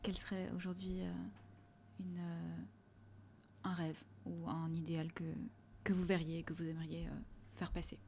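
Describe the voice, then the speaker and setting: A woman hosting and moderating a panel talk in a café, asking questions in a halting, hesitant way. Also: fairly low pitch, flat delivery, darkish tone, slight background noise. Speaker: host and moderator.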